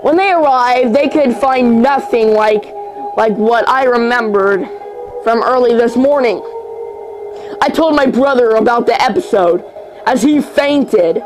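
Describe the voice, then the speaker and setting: An amateur narrator reading a horror story aloud in a halting way, tripping over words and repeating phrases. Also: mid-range voice, low-quality recording. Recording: music under the voice. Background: music.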